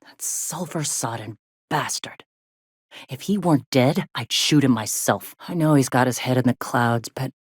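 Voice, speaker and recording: An actress playing a weary, plain-spoken rebel lieutenant turned leader in a video game, with a blunt, folksy, colloquial manner. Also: female voice, extremely low pitch, no echo, clean studio sound. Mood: angry, conflicted. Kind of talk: scripted game dialogue.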